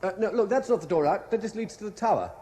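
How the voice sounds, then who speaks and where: A man's voice: high voice, animated, fast, mellow. A British actor playing an anxious, lovesick man terrified of heights in a radio drama, pleading and urgent. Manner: pleading, urgent, emotionally wrought. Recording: echoey, noisy vintage radio drama recording.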